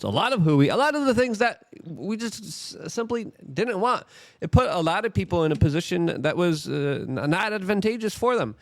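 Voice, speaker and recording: This is a man delivering opinionated political commentary in a fairly high voice. His pitch swings widely as he leans into sarcastic, theatrical emphasis. The sound is dry and close. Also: no room sound.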